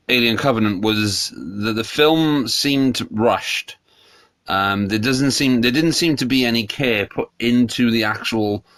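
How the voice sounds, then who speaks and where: A man's voice: medium pitch, fairly bright tone, no echo. A man hosting a solo podcast, congested from a cold, rambling and opinionated. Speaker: podcast host. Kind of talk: solo casual podcast chat.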